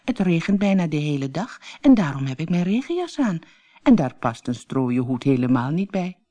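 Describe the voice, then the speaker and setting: Woman voicing an excitable, proud storybook woman, animated. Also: sits low, moderate pace. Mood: private musing. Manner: exclamatory, expressive.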